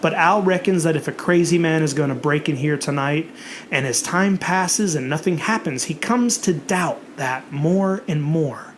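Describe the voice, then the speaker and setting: A narrator reading a horror story in a theatrical, dramatic way, with emphatic, drawn-out words. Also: medium pitch, slight background noise.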